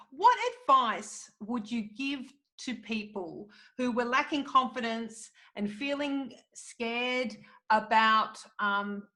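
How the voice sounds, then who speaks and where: A woman interviewer with a bright, fairly high voice. She asks long, winding questions and encourages her guest as she goes.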